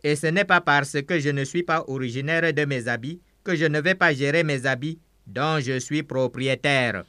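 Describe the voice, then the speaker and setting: A man's voice: on the high side, normal speed, hardly any pauses, bright, forceful tone, clear, resonant tone, dry recording, clean studio sound. A man preaching a sermon through an interpreter, emphatic, saying each phrase several times over.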